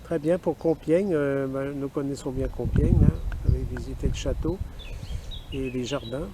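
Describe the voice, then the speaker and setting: A man's voice: medium pitch, normal speed. A man with a French accent chatting over amateur radio as an operator out in the open. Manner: spells call signs in phonetic alphabet. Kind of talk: radio contact chat. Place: outdoors in a wooded park. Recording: outdoor recording with clear background noise.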